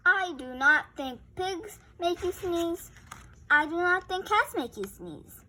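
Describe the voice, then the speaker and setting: A young girl plays a storybook cat doctor with a high, bright voice. The cat talks very slowly, in a calm, measured, matter-of-fact way. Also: exaggerated voice. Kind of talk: picture book read-aloud.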